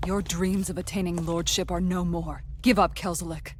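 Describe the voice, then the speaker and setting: An actress voicing a serious, determined young heroine in a video game, plain-spoken and guarded. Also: female voice, fairly low pitch, steady tone, normal speed, noisy background, natural voice. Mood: firm, questioning. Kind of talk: dubbed video game cutscene acting.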